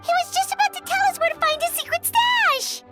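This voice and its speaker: A woman voicing a tiny childlike fairy companion in an anime game, squeaky, excitable and exclamatory. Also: brisk, faint music behind. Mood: excited. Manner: exclamatory, speaks of self in third person.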